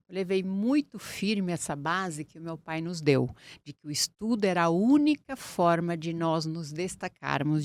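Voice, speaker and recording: A middle-aged woman from Portugal, a lawyer speaking as an interview guest in an earnest, deliberate way. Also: lowish voice, dry recording. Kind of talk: interview conversation.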